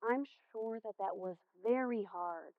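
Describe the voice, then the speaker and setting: A woman playing a caring, patient high school counselor in a stage play, speaking in a gentle, earnest, measured way. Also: no echo, close to an everyday voice. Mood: gently firm. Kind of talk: amateur stage play acting. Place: theater stage. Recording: muffled, dull stage recording.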